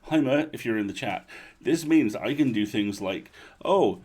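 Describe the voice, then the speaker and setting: A man's voice: medium pitch, unhurried, slightly rough. Playful man hosting a livestream. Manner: playful, animated asides. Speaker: livestream host. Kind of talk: casual chatty livestream introduction to a story reading.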